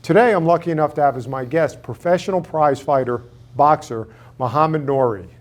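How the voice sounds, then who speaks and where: A male host leads the interview, asking question after question in a steady, prompting way. He keeps the conversation moving and draws his guest out. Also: on the high side, fairly quick. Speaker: host, managing partner of a law firm. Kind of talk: interview hosting.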